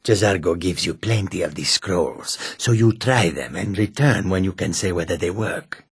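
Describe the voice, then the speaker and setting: A proud, sly feline mage from a fantasy video game. The voice is deep and very raspy. The phrasing is formal and measured, and the recording is dry and close. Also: male voice, no room sound, full character voice. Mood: eager, scheming. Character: proud, sly male cat-person mage, speaks in third person. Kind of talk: video game character dialogue.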